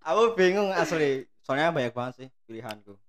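A young man from Cilacap co-hosting a casual chat, relaxed and teasing. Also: fairly high pitch, a little fast, crowd noise. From Cilacap, Indonesia. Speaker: co-host.

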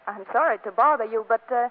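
Actress as arch, high-voiced young radio wife. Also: female voice, a little fast, close to an everyday voice. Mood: coy, scheming. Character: spirited young wife, coolly suspicious and sly. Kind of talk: 1940s radio comedy acting. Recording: muffled old radio broadcast.

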